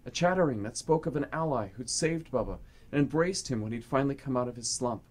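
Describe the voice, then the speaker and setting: A man's voice: medium pitch, expressive, fast, a little mellow. A man reading scripted, dramatic story narration for a radio play.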